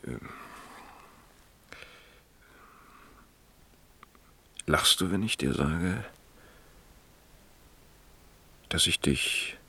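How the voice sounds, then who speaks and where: A troubled, slightly drunk schoolteacher in a seventies radio play. His voice is deep and gravelly, heavily breathy. He talks haltingly, as if confiding. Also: bright, forceful tone, full character voice. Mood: tentative, tender. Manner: halting, low-key, confiding. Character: Richter, troubled, tipsy married male teacher. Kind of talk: 1970s German radio play acting.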